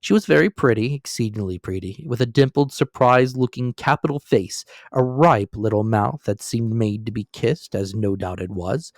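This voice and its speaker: A man narrating a classic novel as a dramatic, expressive storytelling reading. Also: lowish voice, dry recording, clean studio sound.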